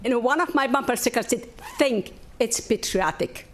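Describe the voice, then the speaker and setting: A woman's voice: fairly high pitch, expressive, moderate pace, noisy background. A woman panelist closing her remarks hesitantly, with fillers and light laughter.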